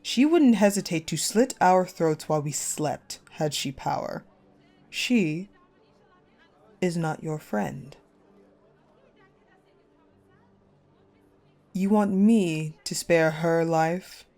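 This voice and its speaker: A woman playing a cold, possessive ice-queen general in a dark romance audio roleplay, commanding and menacing. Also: lowish voice, some chatter behind. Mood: cold anger. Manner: commanding, menacing, slow and deliberate, dropping to hushed.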